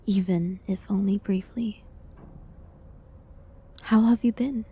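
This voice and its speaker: A woman playing a gentle, sorrowful village herbalist condemned as a witch, speaking in a hushed, halting way in a dramatic audio roleplay. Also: medium pitch, fairly even intonation, exaggerated voice. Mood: tender, relieved. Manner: hushed, breathy, halting delivery.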